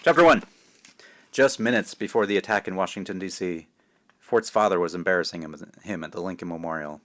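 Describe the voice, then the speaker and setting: A man's voice: low voice, fairly quick. A man who writes children's books, speaking casually and narrating his own story aloud. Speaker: children's author reading his own book.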